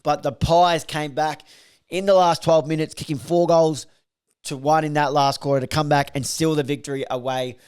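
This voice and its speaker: A man, slightly croaky from a recovering voice, hosting a casual solo podcast and reeling off football stats with lots of fillers. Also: fairly high pitch, flat delivery, normal speed, a little mellow. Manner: rambling, filler-heavy, reels off stats. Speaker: podcast host reviewing football.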